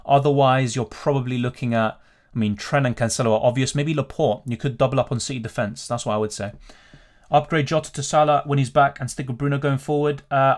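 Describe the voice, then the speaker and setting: Man from Argentina hosting a casual livestream. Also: medium pitch. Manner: conversational, off-the-cuff, thinking aloud. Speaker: livestream host, fantasy football tipster. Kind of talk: casual live Q&A, reading out and answering viewer questions.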